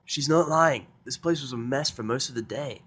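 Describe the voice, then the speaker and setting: A man's voice: medium pitch, moderate pace, fairly bright tone, breathy edge, voice pushed up. A man voicing a helpful young male sidekick in a pony cartoon story, chipper and matter-of-fact.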